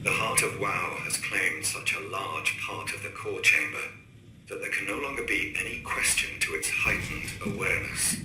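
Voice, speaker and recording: An actor playing a grave, worried male scientist recording a deliberate, ominous audio log in a game. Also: sits low, pushed and bright. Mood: grave, measured. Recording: echoey room with faint noise.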